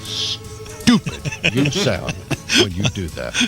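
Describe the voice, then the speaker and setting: A man's voice: on the low side, huge pitch swings, slow pace. A man on a radio show, bantering in an exasperated, emphatic way and repeating himself. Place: radio studio. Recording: archival radio broadcast with music bed.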